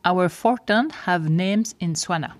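A woman's voice: fairly low pitch, measured pace, dry recording. A middle-aged woman from French-speaking Switzerland with a Swiss French accent, whose first language is French, hosting a casual podcast and often repeating words for stress. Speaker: podcast host and lodge co-owner.

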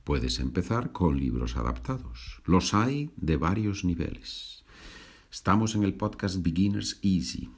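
Slow, deep-voiced man teaching. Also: animated, breathy edge. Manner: patient, repeats and translates each sentence. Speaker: language podcast host. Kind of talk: slow bilingual teaching podcast.

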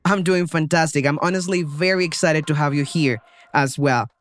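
A man's voice: a little high, moderate pace, fairly bright tone. A man hosting an interview podcast as an English teacher, upbeat and enthusiastic.

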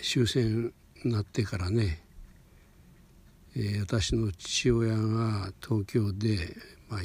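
An elderly man in his eighties from Hokuriku, Japan, recounting personal memories in an unscripted, halting, meandering way with a husky, gravelly voice. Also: on the low side. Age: 80s. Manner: halting, meandering, with sentence-final softeners.

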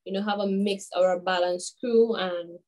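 A young woman from Grenada in her twenties, chatting as an interview guest. Her voice sits at a middle pitch, and she tells her stories in an animated way, full of fillers and asides. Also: normal speed. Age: 20s. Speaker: guest, children's author. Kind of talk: casual interview chat.

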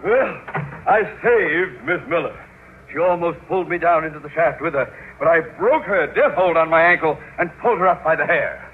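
An actor playing a self-important, easily flustered older school principal in a radio sitcom, blustering and stammering. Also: male voice, on the high side, animated, moderate pace, close to an everyday voice. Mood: smugly modest. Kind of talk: old-time radio sitcom acting. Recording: narrow-band noisy vintage radio, audience.

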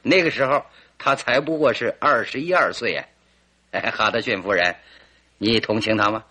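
Confident male detective, old radio play. Bright, slightly rough voice, leisurely theatrical deductions. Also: medium pitch, normal speed. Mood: explaining, probing. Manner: theatrical, leisurely deductive storytelling with rhetorical questions. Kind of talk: old-fashioned Mandarin radio drama acting.

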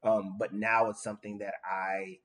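A man's voice: on the low side, level tone, moderate pace, fairly bright tone. A man co-hosting a casual podcast chat, earnest and thoughtful, with many fillers.

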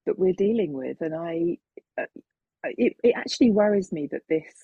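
A middle-aged woman talks casually and reflectively, with plenty of gravelly fry and a husky edge to her voice. She feels her way through thoughts hesitantly. The recording is a little dull and muffled, like an online call. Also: on the low side, lively intonation, normal speed, dark tone, dry recording. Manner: hesitant, thoughtful, chuckles while talking.

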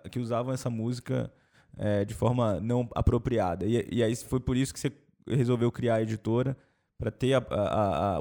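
Male host, gravelly voice, mid pitch, casual interviewer who recaps answers. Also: dark tone. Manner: prompting, summarizing, hesitant.

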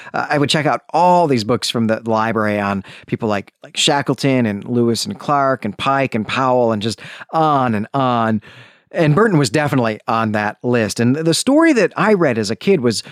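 A man's voice: mid-range voice, dry recording, clean studio sound. A man hosting a podcast, giving an informal but prepared talk in an enthusiastic, bookish and emphatic manner. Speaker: podcast host.

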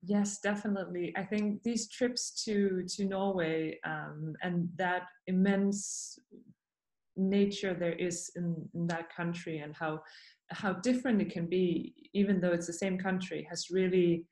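A woman from Denmark, a podcast guest, speaking thoughtfully with many pauses and fillers. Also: on the low side, steady tone, darkish tone, roomy sound. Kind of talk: podcast interview.

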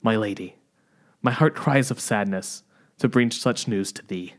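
A man's voice: on the low side, a little slow, close to an everyday voice. A man playing a solemn lord bearing grim news in mock-archaic speech, with an attempted British accent drifting into Australian. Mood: sorrowful. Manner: solemn, theatrical, archaic diction. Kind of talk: amateur comic period-play read.

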